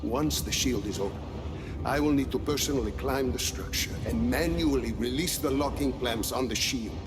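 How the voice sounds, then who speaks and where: A man plays a methodical older weapons scientist in a game cutscene, laying out plans with careful precision. His voice sits in an ordinary middle register. Soft music plays under him. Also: medium pitch, lightly acted. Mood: calm. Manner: measured, precise, explanatory. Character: aging male weather-weapon scientist, calm and methodical. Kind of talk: video game cutscene acting.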